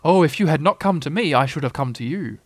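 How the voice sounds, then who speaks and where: A man's voice: on the high side, lively intonation, quick pace, no room sound. A man voicing a nervous, pompous storybook owl who feigns wisdom in a hesitant, faltering way. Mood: pompously agreeing. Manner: hesitant, faltering, evasive bluffing. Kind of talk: storybook character voice.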